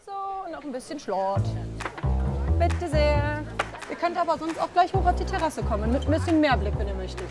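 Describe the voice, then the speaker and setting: A woman's voice: high voice, expressive, normal speed, loud music behind the voice. A woman working in a restaurant, talking to guests in a friendly, hospitable way. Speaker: restaurant staff. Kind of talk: casual talk with guests.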